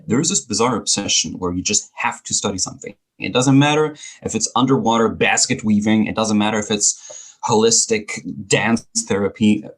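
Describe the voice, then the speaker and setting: Low-voiced, slightly husky bilingual Greek-German man. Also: normal speed, poor audio. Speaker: podcast guest, speaking coach. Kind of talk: conversational interview.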